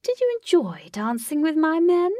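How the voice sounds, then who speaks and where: A woman voicing a crazed, taunting male master of a sinister ride in a storybook, with theatrical, drawn-out mockery. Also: sits high, huge pitch swings, dark tone, no echo, studio quality, recorded from a distance, pitched above the performer's own voice. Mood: mocking. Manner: theatrical, drawn-out mocking delivery.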